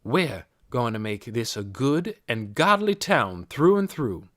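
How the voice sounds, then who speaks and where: An older small-town busybody woman played by an audiobook narrator, brisk and self-righteous. The delivery is emphatic and folksy, swinging widely in pitch as it preaches. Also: very animated, fairly bright tone, no echo, studio quality. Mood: stern, determined. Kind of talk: audiobook character voice.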